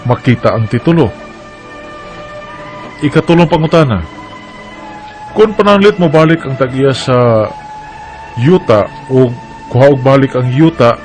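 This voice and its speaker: A man's voice: medium pitch, a little mellow, lightly acted. A man with a Cebuano Filipino accent playing an earnest adult son in a radio drama. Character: earnest adult son working abroad, worried for father. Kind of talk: radio drama, reading a letter aloud.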